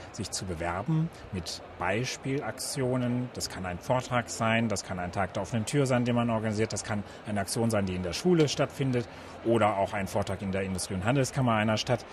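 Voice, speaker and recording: A man's voice: on the low side, a little fast. A man answering interview questions as a guest, explaining things with examples. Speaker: interview guest, science organisation director. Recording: noisy event ambience with traffic.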